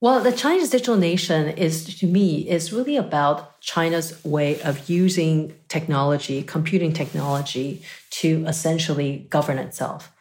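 Deep-voiced woman explaining like an academic. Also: moderate pace, hardly any pauses, darkish tone. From Taiwan. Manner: thoughtful academic explaining, frequent "right?" and "you know". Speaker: guest expert. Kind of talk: interview answers.

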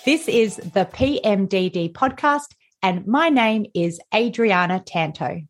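A woman's voice: medium pitch, lively intonation, measured pace, faint music behind. A woman with an Australian accent hosting a podcast interview, chatty and enthusiastic, often restarting her sentences. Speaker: podcast host.

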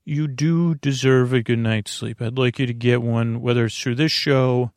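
A man with a gravelly voice hosting a bedtime podcast, talking in a halting, meandering monologue that keeps wandering into tangents. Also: medium pitch, fairly even intonation, a little mellow, dry recording, clean studio sound. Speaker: podcast host.

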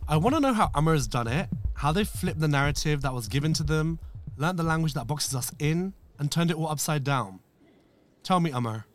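A man's voice: fairly high pitch, animated, fairly quick, faint music behind. Camp, sassy man hosting. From England, east London. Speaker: podcast host. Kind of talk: scripted personal narration.

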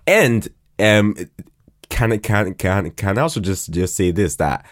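A man with a slightly low voice in casual conversation. He talks at a slow pace, circling back and repeating words before he completes a thought. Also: very animated. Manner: halting, repeats words and restarts often. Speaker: podcast guest, fiction podcast creator. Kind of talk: casual chat.

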